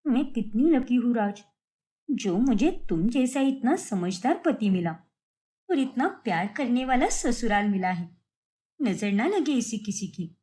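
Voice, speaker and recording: A woman's voice: fairly high pitch, animated, a little slow, mellow. A woman voicing a sweet, affectionate young married daughter in a cartoon family story.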